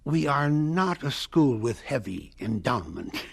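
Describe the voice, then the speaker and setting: Slow, gravelly college president. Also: male voice, mid-range voice, sing-song, heavily acted. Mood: smug, reassuring. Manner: hesitant, ponderous, hemming and hawing. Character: stammering, self-assured older male college president. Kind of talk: audio drama acting.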